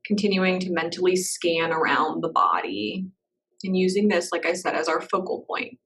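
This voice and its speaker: Meditation guide, woman, lowish voice, soft and unhurried, recorded a little off-mic. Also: recorded from a distance, low-quality recording. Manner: soft, slow and reassuring, with gentle pauses.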